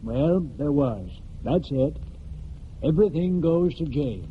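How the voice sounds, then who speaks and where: An actor playing a firm, authoritative small-town judge in a 1940s radio drama. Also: male voice, on the high side, animated, slow. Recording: muffled, noisy 1940s radio broadcast.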